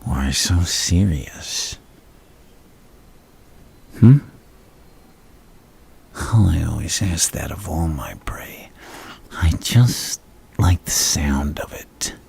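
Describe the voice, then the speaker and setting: A man playing a flirtatious, menacing, unhinged villain in a gravelly half-whisper for an ASMR roleplay, slow and teasing with murmured hums. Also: rumbling low, lively intonation, a little mellow, exaggerated voice. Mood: mocking, menacing.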